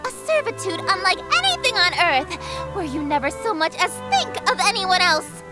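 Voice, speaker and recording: A haughty goddess of chaos for a visual novel game. Her voice is extremely high and swings widely in pitch, grandiose and melodramatic as she lords it over mortals. Music plays clearly behind her. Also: sing-song, moderate pace. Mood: imperious. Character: haughty theatrical eldritch goddess of chaos, female, easily flustered.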